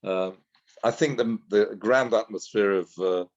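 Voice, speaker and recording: A man interviewing a guest, telling anecdotes in a relaxed conversational way. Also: fairly low pitch, measured pace, highs cut off.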